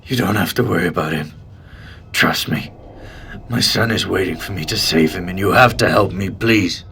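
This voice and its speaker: Man playing a deep, gravelly, desperate father. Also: bright, forceful tone, a little hiss or hum, heavily acted. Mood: urgent, coaxing. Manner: pleading, urgent, halting. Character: desperate, pleading father searching for his young son. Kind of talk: audio drama acting.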